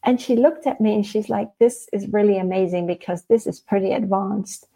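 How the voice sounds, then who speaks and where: A woman from the Netherlands, telling personal stories in a casual, animated, halting way as an interview guest. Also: medium pitch, breathy edge. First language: Dutch. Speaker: interview guest, spiritual channeler. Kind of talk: casual conversational storytelling.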